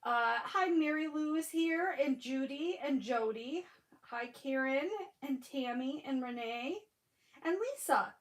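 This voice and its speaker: A woman's voice: high voice, slow pace, rough recording. A woman in her forties with a Michigan accent and a stuffy nose, chatting casually on a live stream and greeting viewers by name. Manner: frequent fillers and restarts. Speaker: livestream crafting host.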